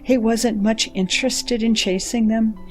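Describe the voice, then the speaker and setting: A caring female ship's computer played by a woman for a science fiction audio drama. Her voice is a little high, with gentle, measured delivery and faint music behind it. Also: fairly even intonation, close to an everyday voice. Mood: calm, chatty. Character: caring female ship computer reading out a mother's message. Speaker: voice actor. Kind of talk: audio drama, reading a letter aloud.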